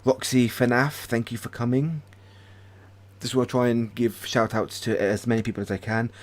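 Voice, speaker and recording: A British man hosting a casual livestream, chatting hesitantly with many pauses and restarts. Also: mid-range voice, little pitch movement. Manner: hesitant, lots of ums and restarts, friendly. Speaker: ASMR channel host.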